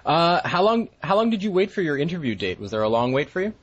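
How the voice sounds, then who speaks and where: Brisk, quick-asking male interviewer. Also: a little high, sing-song, husky, muffled recording. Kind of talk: interview questions.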